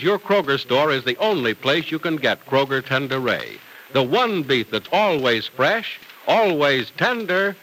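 A man's voice: a little high, sharp tone. A male announcer reading scripted old-time radio narration and advertising with emphatic polish, on a noisy vintage recording.

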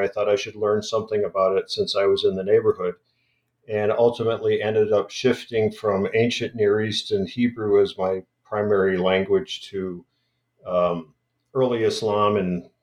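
Male academic guest, halting delivery, reverberant room. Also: lowish voice. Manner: halting, many restarts and fillers. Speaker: guest scholar. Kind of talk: hesitant conversational interview.

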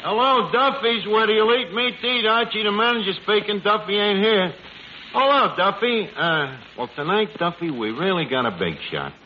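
A man's voice: high voice, animated, moderate pace. An actor playing a cocky, working-class New bar manager who mangles his words with hesitant, slangy talk in a 1940s radio comedy. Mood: breezy, wry. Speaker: lead comic actor in a radio sitcom. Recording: muffled, noisy old radio broadcast, audience.

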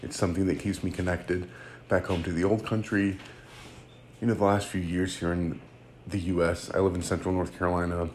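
A man with a very low voice talks alone into his podcast mic, loose and unscripted. He is earnest and rambling, often stopping on ums and starting sentences over. A faint hiss sits under his voice. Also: level tone, a little fast. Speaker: podcast host. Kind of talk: casual solo podcast monologue. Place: home recording in central North Carolina.

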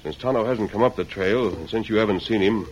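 An actor playing a calm, resolute cowboy lawman hero in an old-time radio western, speaking in a measured, formal, authoritative way. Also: lowish voice, close to an everyday voice. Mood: urgent. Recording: noisy vintage radio drama recording.

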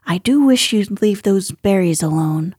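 A weary older housekeeper fusses and scolds with exasperation, read by a woman. She speaks slowly in a soft, mellow voice. Faint music plays under the close, dry sound. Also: medium pitch, lively intonation, slightly rough, no echo. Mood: exasperated. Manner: exasperated, fussing.

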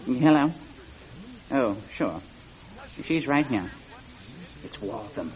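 Wheedling, animated young schemer. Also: male voice, mid-range voice, slow. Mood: uneasy. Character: sulky, scheming grown son, heavy-drinking younger brother. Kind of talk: old-time radio drama acting. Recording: dull vintage radio recording.

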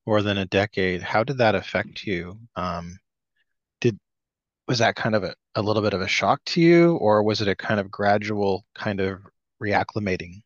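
Low-voiced male host, muffled audio. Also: no echo. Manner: long winding questions with self-corrections. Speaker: podcast host. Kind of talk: interview questions. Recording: slightly dull, muffled audio.

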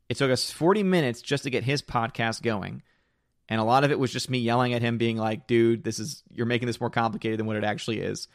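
A man with a slightly hoarse voice talks casually on a live stream, reading viewer comments aloud and rambling with restarts. His voice sits at an ordinary middle pitch. The sound is dry and close, with no room echo.

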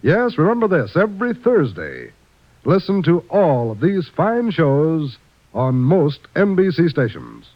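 Lively American vintage radio announcer, male. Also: on the high side, a little slow. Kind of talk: 1950s scripted announcing. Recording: muffled old radio broadcast. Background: music.

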